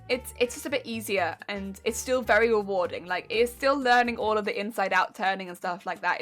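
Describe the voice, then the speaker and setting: A woman's voice: mid-range voice, a bit bright. A young woman with an English accent, chatting casually on a live stream as she answers chat questions and critiques her own sewing. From England.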